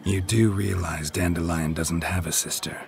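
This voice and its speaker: An American man playing a stoic, weary monster hunter in a video game, terse and dryly sardonic in a low gravelly murmur. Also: normal speed. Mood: wry, deadpan. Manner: terse, deadpan.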